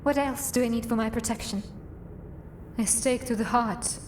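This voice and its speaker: A woman playing a wry, guarded dark elf assassin in a fantasy game, dry and sardonic in her delivery. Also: fairly high pitch, fairly even intonation, moderate pace, noisy background, natural voice. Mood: sardonic musing. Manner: dry, sardonic, muttering to herself. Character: wry, guarded female drow assassin adventurer. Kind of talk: acted fantasy game dialogue and monologue.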